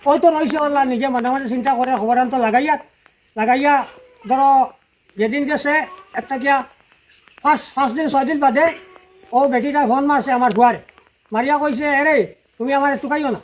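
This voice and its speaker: Middle aged sylheti guy, really high voice, rambling repetitive story, bit of background noise. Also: normal speed, pauses often, low-quality recording. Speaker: father-in-law telling his family's story. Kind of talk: unscripted personal account to listeners.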